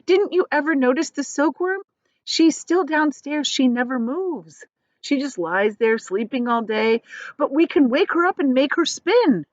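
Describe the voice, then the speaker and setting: A woman voicing a sensible old male grasshopper in a children's storybook, measured and full of questions. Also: high voice, animated, no room sound, dull audio, voice pushed up. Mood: helpful. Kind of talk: children's storybook character reading.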